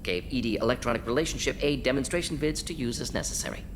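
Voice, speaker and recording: A man voicing a brisk, eccentric alien scientist-doctor in a video game, speaking in clipped, deadpan clinical phrases with dry amusement. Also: mid-range voice, noisy background, natural voice. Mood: clinical, matter-of-fact.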